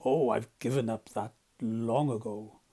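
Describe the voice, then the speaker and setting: A man voicing a boastful, gushing, excitable toad in a storybook, airy and full of exclamations. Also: medium pitch, measured pace, breathy edge. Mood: airy, eager. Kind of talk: storybook character voice.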